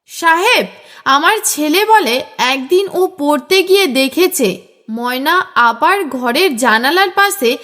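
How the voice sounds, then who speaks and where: A woman's voice: sits high, a bit bright, big-room sound, pitched above the performer's own voice, full character voice. A woman voicing a worried village mother, urgent and accusing, in an audio detective story.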